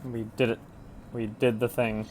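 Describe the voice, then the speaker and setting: A man's voice: mid-range voice, level tone, measured pace, background noise. A man giving casual, skeptical commentary while he streams a video game. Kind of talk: casual live gameplay commentary. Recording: game music behind voice. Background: game music.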